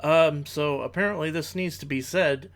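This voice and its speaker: A man's voice: on the high side, normal speed. A man giving argumentative reaction commentary in formal, analytical language, as a video creator.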